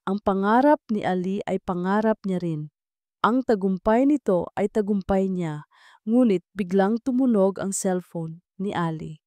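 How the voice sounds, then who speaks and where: A woman narrates a scripted romance drama in a mellow voice of medium pitch. The recording is close and dry. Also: a little slow, no room sound.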